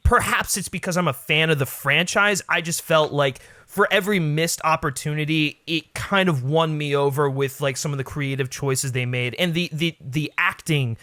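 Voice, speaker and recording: A man's voice: a little high, moderate pace, few pauses, bright, forceful tone, dry recording, studio quality. A man as a podcast guest, giving casual film opinions with lots of hedging and "like". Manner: hedging, filler-heavy talk with frequent "like".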